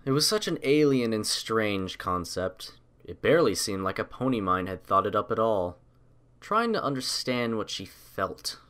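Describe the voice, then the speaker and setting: A man reading a story aloud as scripted audiobook narration, in a measured, literary storytelling manner. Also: on the low side, fairly bright tone.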